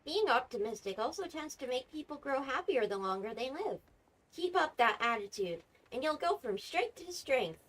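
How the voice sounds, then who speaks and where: A woman's voice: sits high, big pitch swings, moderate pace, a bit bright, a little hiss or hum, poor audio. An actress playing an upbeat, confident female sports coach in an anime game, cheerful, breezy and encouraging.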